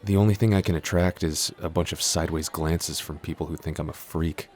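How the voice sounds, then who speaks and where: A man's voice: extremely low pitch, fairly even intonation, brisk, darkish tone, soft background music, a faint crowd. A man playing a shy, clumsy giant in a roleplay audio, stammering, hesitant and constantly apologetic. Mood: self-deprecating. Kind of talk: intimate roleplay audio acting.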